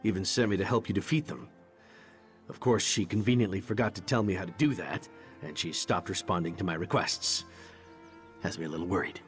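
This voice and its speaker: An actor playing a charming, candid knight in a fantasy video game, wry and conversational, hesitant when confiding. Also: male voice, sits low, brisk, soft background music, lightly acted. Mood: reflective, wry. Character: charming, candid male knight champion of a goddess. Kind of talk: video game character dialogue.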